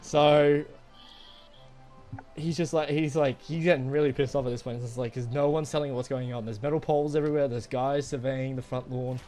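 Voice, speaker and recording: A young man chats casually, as if telling friends a story. His talk rambles and is full of swearing. His voice sits at a middling pitch, with music playing behind it. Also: little pitch movement, brisk, a little mellow. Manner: profane, rambling. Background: background music.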